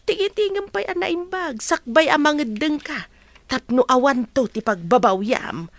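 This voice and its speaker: Expressive, cautious mother played by a woman. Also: high voice, normal speed, dry recording. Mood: gentle advice. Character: caring, cautious mother advising her grown daughter. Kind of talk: radio drama acting.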